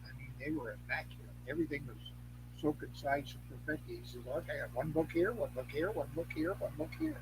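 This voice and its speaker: An older man in casual, rambling conversation as a podcast guest, halting and repeating words for emphasis. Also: on the high side, animated. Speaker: podcast guest, elder of a witchcraft tradition. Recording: noisy line with hum.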